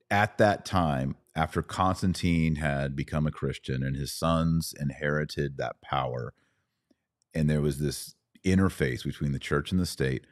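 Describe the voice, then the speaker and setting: Very deep-voiced man, dry close sound. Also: no echo. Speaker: podcast host. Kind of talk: conversational religious discussion.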